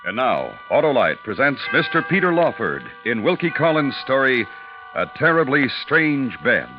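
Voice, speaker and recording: A man announcing a program in a portentous, dramatic 1940s radio style with deliberate emphasis. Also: medium pitch, sing-song. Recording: muffled vintage radio recording. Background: music.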